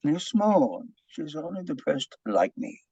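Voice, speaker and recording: A man playing a gloomy, self-pitying, excitable Austrian psychiatrist in a play reading, lamenting dramatically in broken English with an Austrian accent. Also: fairly high pitch, slow, husky, dry recording, dull audio, full character voice. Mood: despondent.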